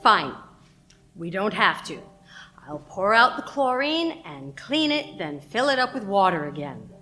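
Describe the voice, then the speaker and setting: A woman's voice: medium pitch, sing-song, unhurried, pushed and bright, soft background music. A woman playing a ruthless, persuasive radio station schemer with an assertive, emphatic delivery in a radio play. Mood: reluctant, placating.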